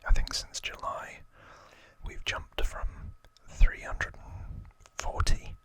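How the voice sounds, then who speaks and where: A man whispers slowly as an ASMR host. His voice is all breath, with crisp, very bright sibilant edges. He keeps a gentle, hushed narration, lingering on words. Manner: slow, gentle, hushed delivery with drawn-out words.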